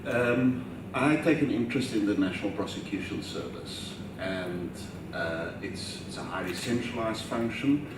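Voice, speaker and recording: A man with a very deep voice asks a formal question from the floor, hesitating at first. He sounds far from the mic. Heavy echo and noise fill the hall. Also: animated. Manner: halting start, then formal and precise. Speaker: audience questioner. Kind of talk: audience question at a conference. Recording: echoey hall, distant mic, noisy.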